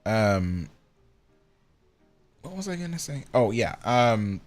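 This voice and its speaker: A man's voice: fairly low pitch, big pitch swings, slow pace, faint music behind. A tired man in his thirties hosting a casual livestream, rambling with many ums as he answers viewer comments. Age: 30s. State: tired, yawning. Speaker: livestream host.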